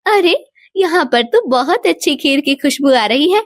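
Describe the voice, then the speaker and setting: A woman voicing a sweet, curious young goddess in an animated devotional tale. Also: high-pitched, a little slow, no echo, clean studio sound. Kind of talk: animated devotional storybook acting.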